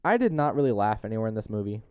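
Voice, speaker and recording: A man's voice: lowish voice, big pitch swings, racing, a little mellow, smooth, no room sound, studio quality. A man hosting a podcast, chatting casually about a movie as he works through a plot summary. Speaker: podcast host.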